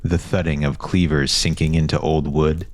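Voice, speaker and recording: A man's voice: extremely low pitch, level tone, normal speed, no room sound. A man reading a scripted fantasy story as its narrator.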